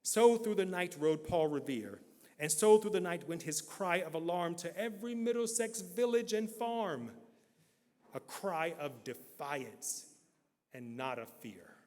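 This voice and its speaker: Man, dramatic poetry recitation, slightly high voice, long church reverb. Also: animated, unhurried, darkish tone. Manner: theatrical, swelling and dropping for effect. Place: large church hall.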